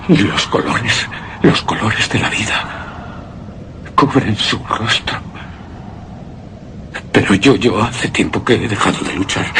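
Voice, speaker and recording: An actor playing a terrified, anguished widower in a gothic horror radio drama, speaking theatrically in halting, repeated phrases. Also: male voice, lowish voice, noisy background, full character voice. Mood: anxious, shaken. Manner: halting, theatrical, repeats words, breaks into hushed gasps. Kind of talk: Spanish-language horror radio drama monologue.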